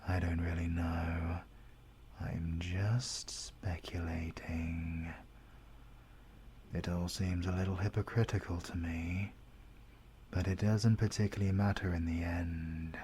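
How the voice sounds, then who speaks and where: A British man acting a menacing, coldly courteous villain interrogator in an audio roleplay, speaking formally and deliberately, at times dropping into a whisper. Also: deep voice, flat delivery, dark tone, a little hiss or hum. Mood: cynical musing.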